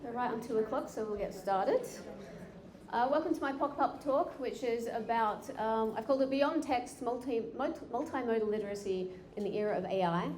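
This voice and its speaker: A woman's voice: on the high side, a little fast, a little mellow. Chatty woman speaker, echoey hall. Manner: chatty, many fillers and restarts. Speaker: conference speaker. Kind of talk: live conference talk. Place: conference room. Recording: echoey hall with faint noise.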